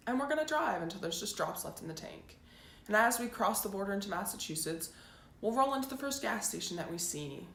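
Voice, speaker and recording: A woman's voice: on the low side, gravelly. A woman performing a dramatic monologue as a resentful, determined eighteen-year-old daughter, intense and emphatic. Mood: wistful. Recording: roomy echo, faint background noise.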